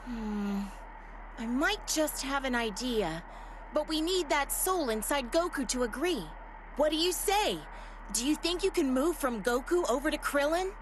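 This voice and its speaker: A woman voicing a clever, confident, take-charge character in an anime video game, expressive, with music behind. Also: high-pitched, a little slow, noisy background. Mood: matter-of-fact.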